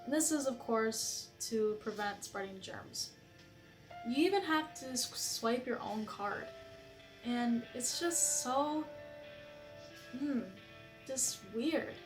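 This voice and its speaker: A woman's voice: a little high, takes it slowly, long pauses, over music, poor audio. A young woman talking casually as a vlogger, chatty and asking her viewers questions. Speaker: student vlogger interviewing friends. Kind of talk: casual vlog narration.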